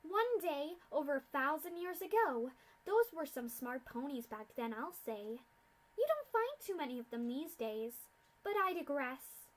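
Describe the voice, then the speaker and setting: A sadistic female pony scientist, as a woman reader puts her on, sneering through mock-polite lines. Her voice sits high and pushed loud, all theatrical contempt in a storybook reading. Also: very animated, unhurried, poor audio. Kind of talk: fanfic reading.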